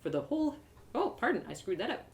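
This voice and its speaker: Female storyteller, fairly high voice, very animated, dramatic fantasy narration. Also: fast. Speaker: storyteller reading aloud. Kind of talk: expressive first-person fantasy narration, live reading.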